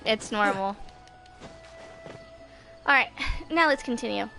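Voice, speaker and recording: A woman's voice: fairly high pitch, lively intonation, slow pace, vocal fry, sharp tone, rough recording. A woman in her twenties giving casual gameplay commentary and reading game text aloud. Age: 20s. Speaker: gaming video host. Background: game music.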